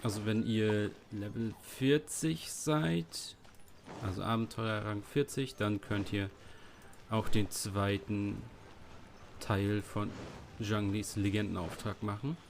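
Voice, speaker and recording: A native German man hosts a Let's Play in a casual, chatty style. His voice sits fairly low, with faint noise and game ambience behind it. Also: steady tone, slow pace, gravelly. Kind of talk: casual gameplay commentary.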